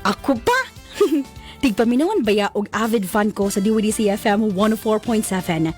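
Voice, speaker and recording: A woman playing a friendly, teasing young radio fan in a radio drama, animated and full of exclamations. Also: medium pitch, lightly acted.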